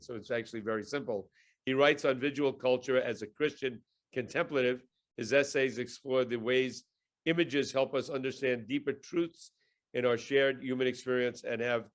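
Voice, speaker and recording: A man of middle pitch hosts a podcast and introduces the show and its guest. He rambles and fills his pauses with many "uh"s. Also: fairly even intonation, a bit bright. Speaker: podcast host.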